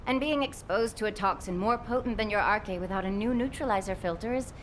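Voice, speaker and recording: A woman's voice: medium pitch, fairly quick, a little hiss or hum, close to an everyday voice. A woman acting a concerned, protective technical expert in a video game, speaking with urgent, precise earnestness. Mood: grave, insistent.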